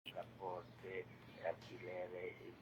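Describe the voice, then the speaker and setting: An older man giving a reflective radio monologue, halting and repeating words, with rhetorical questions. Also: sits low, monotone. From Spain. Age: 60s or older. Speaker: retired regular contributor giving commentary. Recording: clearly noisy radio feed.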